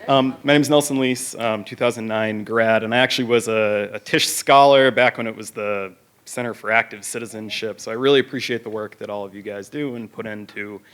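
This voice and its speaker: Man, 30s, alumnus, audience question, lowish pitch, friendly, fillers. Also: fairly even intonation, fairly quick, fairly bright tone, clear, resonant tone.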